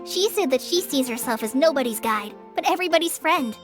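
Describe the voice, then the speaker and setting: A young girl adventurer in a video game, friendly and idealistic. Her voice is high and youthful, laying things out in an encouraging way. Faint music runs behind her. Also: animated, fairly quick. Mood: informative. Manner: encouraging, clearly explaining.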